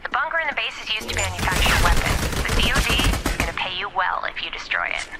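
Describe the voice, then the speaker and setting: An actress playing a brisk female military handler who gives mission briefings in a game cutscene. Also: mid-range voice, lively intonation, loud music behind the voice, natural voice. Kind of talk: video game cutscene acting.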